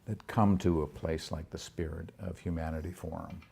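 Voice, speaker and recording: A man with a deep, dark and husky voice conducts an interview. He asks his questions gently and without hurry. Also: fairly even intonation, normal speed.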